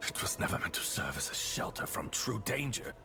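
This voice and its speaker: A man's voice: lowish voice, sing-song, a little fast, vocal fry, sharp tone, slight background noise, heavily acted. A man voicing an anxious, earnest village pub keeper in a video game. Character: anxious, earnest male townsman keeping a pub.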